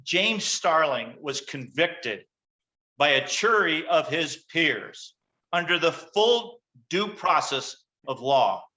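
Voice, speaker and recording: A man plays an evasive senator running for high office, cornered and flustered. His voice is high and bright, and he halts and stammers through his lines in a screenplay table read. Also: expressive, takes it slowly, full character voice, low-quality recording. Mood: evasive, defensive. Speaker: actor in a virtual table read.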